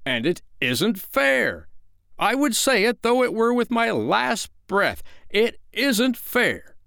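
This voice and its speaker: A man's voice: sits high, sing-song, slow, gravelly, sharp tone, no echo, clean studio sound, voice pushed up, full character voice. A man reading a storybook as a blustering, cowardly, self-important middle-aged father who talks in pompous, indignant retorts.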